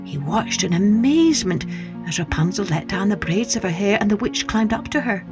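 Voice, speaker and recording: A woman narrating a scripted children's story as a podcast host, with expressive, deliberate delivery. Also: mid-range voice, over music.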